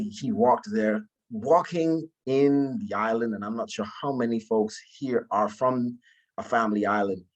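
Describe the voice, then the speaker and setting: A man, giving an informal talk about his own story in a polite, humble way, often halting and repeating words. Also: lowish voice, darkish tone, lo-fi sound. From Bahamas. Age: 20s. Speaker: guest speaker, alumnus.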